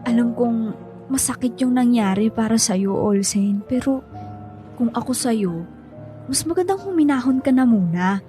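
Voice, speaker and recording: High-voiced young Filipina girlfriend. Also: animated, unhurried, pauses often, warm tone, faint music behind. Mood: gentle, consoling. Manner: placating, earnest. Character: supportive, level-headed young girlfriend, easily exasperated. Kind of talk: Filipino radio drama acting.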